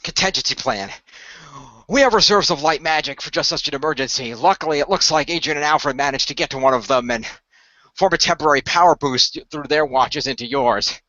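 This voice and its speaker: A man playing a calm, confident hero who plans ahead, speaking in a matter-of-fact way in an amateur radio play. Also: a little high, brisk, dry recording, muffled recording. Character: calm, confident male hero planner explaining his strategy.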